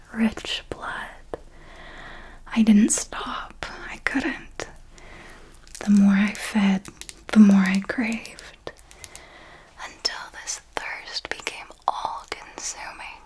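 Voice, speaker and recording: A woman whispering an ASMR roleplay as a darkly elegant, possessive vampire mentor, telling it in a deliberate, theatrical way. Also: medium pitch, dark tone, low-quality recording. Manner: slow, deliberate, theatrical storytelling.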